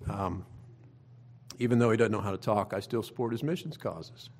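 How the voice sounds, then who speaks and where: A man's voice: lowish voice, moderate pace, darkish tone, husky. A middle-aged man with an Oklahoma accent preaching a sermon as a pastor, in a folksy, conversational way with emphatic rhetorical questions. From Oklahoma, United States. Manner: folksy, plain-spoken, conversational. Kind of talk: sermon to own congregation.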